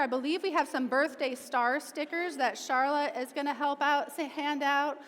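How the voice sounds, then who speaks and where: High-pitched woman running the service, casual and upbeat, reverby hall. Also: fairly bright tone. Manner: informal, chatty, encouraging. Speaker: service leader. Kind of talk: leading a congregation. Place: large meeting hall.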